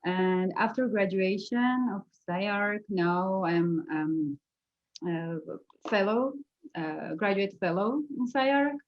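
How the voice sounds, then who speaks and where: A woman's voice: medium pitch, lively intonation, slow, muffled recording. A woman in her thirties from Armenia with an Armenian accent, talking casually and hesitantly as an interview guest. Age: 30s. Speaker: architect, award-winning interview guest.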